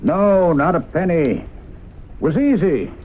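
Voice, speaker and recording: An actor playing a weary, defensive middle-aged husband with hesitant, folksy speech in a 1970s radio drama. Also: male voice, sits high, expressive, unhurried. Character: defensive, weary small-town husband hiding something. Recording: muffled, noisy 1970s radio broadcast.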